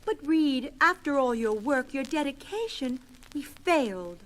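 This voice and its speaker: An actress playing an anxious, devoted young superheroine with melodramatic delivery in a 1970s audio drama. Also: female voice, high-pitched, expressive, a little slow, a little hiss or hum, low-quality recording. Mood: frightened.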